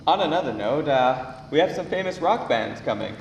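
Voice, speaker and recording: A man's voice: a little high, lively intonation, moderate pace. A man playing a nervous, chatty theater staff member showing a newcomer around. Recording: noisy echoey room, distant mic.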